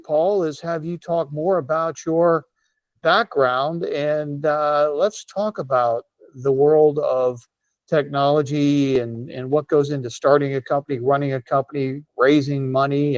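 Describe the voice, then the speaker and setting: A man's voice: a little high, no echo. A man hosting a business interview podcast, introducing his guest in an upbeat, promotional way with occasional fillers. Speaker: podcast host, consultant.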